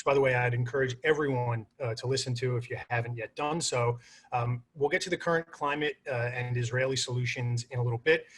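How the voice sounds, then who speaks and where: Male moderator, middle pitch, friendly and a bit halting. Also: a bit bright. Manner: friendly, with many "uh" fillers. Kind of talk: moderating a panel on a video call.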